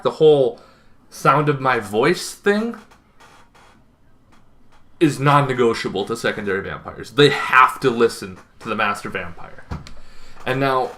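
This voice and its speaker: Bright-voiced young man explaining informally. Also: medium pitch. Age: young adult. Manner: animated, conversational, thinks aloud with asides. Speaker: host of a tabletop roleplaying advice channel. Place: small bedroom, indoors.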